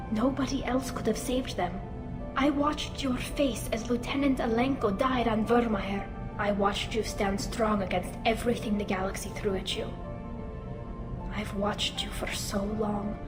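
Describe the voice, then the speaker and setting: An actress playing an earnest, self-conscious young masked alien woman in a sci-fi game, speaking haltingly with a lightly synthetic sound. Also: fairly high pitch, lightly acted. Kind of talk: cinematic sci-fi game acting. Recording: loud music behind, slight echo.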